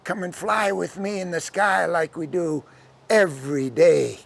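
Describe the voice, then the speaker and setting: A man's voice: high-pitched, a little slow, gravelly, full character voice. A man voicing a loyal, concerned bird who befriends a child in a live oral folktale. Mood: gentle, inviting.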